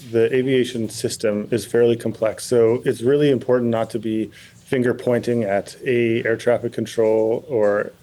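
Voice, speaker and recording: A man answering in a news interview as a pilots' representative, speaking in a careful, measured, halting and diplomatic way. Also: medium pitch, warm tone. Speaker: pilot and pilots' association president, interview guest.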